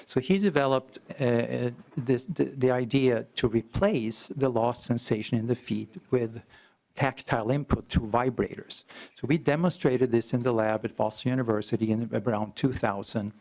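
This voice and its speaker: A middle-aged man from Iceland, raised in Sweden, speaks as a startup co-founder at a legislative hearing. He talks in a measured way, with occasional fillers and restarts, and his voice sits in a middle range. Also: mid-range voice, creaky, darkish tone. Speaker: testifying company co-founder. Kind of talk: committee testimony.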